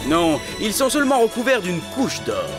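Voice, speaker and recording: A man playing an earnest, encouraging guide who explains and proclaims things in a French animated series dub. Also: high voice, moderate pace, over loud music. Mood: proudly presenting. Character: earnest male mountain guide, encouraging and informative.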